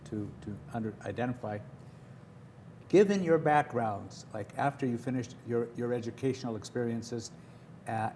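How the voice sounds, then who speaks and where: A man hosts a local TV interview show, chatty and rambling, often circling back on his own questions. His voice is mellow and warm at a middle pitch. There is faint studio ambience behind him. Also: a little hiss or hum. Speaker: TV talk show host.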